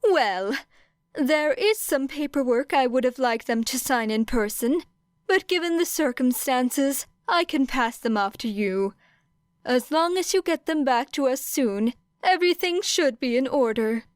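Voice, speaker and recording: A woman's voice: high-pitched, expressive, a little slow, clear, resonant tone, no echo, pitched above the performer's own voice. A woman voicing a courteous, shrewd, upbeat animal school principal in an audiobook, with polite, formal phrasing. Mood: businesslike, cheerful. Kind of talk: acted audiobook dialogue.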